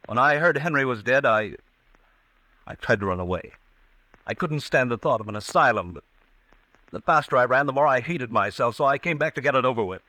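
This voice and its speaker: A man acting a haunted, guilt-ridden man in an old-time radio drama, grave, halting and intense. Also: medium pitch, expressive, pushed and bright, no room sound, poor audio. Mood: anguished. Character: haunted, guilt-ridden man convinced of his own crime. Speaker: radio actor.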